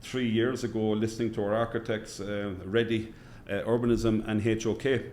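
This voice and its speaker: A middle-aged man with a Cork Irish accent, answering interview questions as a hospital chief executive in a measured way, repeating phrases for emphasis. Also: on the low side, little pitch movement, a little mellow, slight background noise. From Cork, Ireland.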